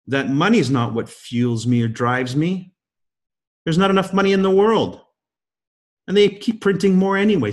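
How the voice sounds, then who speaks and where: A man in his fifties, guest-hosting a leadership call, giving a motivational talk full of emphatic rhetorical questions. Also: sits high. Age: 50s. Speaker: guest host.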